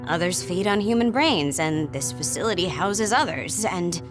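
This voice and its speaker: A woman's voice: on the low side, animated, moderate pace, over music, close to an everyday voice. A woman playing a serious, observant and frank young fighter in a video game. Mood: knowing, grim. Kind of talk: scripted video game voice acting.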